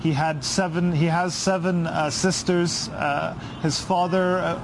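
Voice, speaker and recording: A man recounts a visit in a somber, halting way, with fillers along the way. His voice sits slightly high. Clear street noise runs behind him. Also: steady tone. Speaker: reporter.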